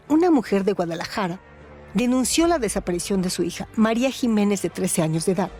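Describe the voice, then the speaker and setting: A woman narrates in a theatrical, deliberate way. Her voice sits at a medium pitch, with soft music behind it. The delivery is scripted, like a radio storytelling host. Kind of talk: scripted dramatic narration and commentary.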